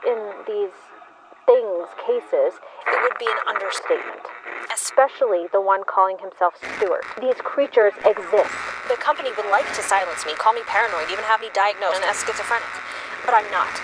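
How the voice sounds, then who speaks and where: A woman's voice: mid-range voice, normal speed, lightly acted. Woman acting a scientist's warning through heavy noise. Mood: grave urgency. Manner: formal, precise, grave. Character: female research scientist broadcasting an urgent whistleblower warning. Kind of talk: sci-fi radio drama monologue.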